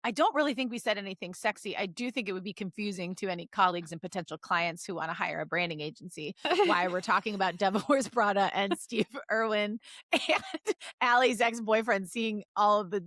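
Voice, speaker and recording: A woman's voice: medium pitch, a little fast, fairly bright tone, dry recording. A woman co-hosting a podcast in casual comedic banter, teasing playfully and laughing often. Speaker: podcast co-host.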